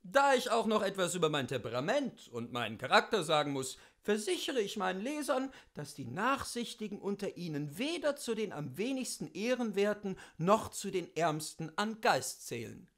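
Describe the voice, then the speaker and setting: A man reading as a witty, worldly philosopher of seventy-two, telling his memoirs in a theatrical, rhetorical and deliberate literary style. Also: sits high, sing-song, a bit bright, exaggerated voice. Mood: self-satisfied.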